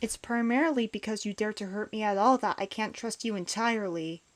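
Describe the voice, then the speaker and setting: A woman's voice: medium pitch, fairly quick, a little hiss or hum, lightly acted. A woman acting a bitter, despondent outcast in a comic dub, guarded, sullen and dismissive. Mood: guarded, resentful.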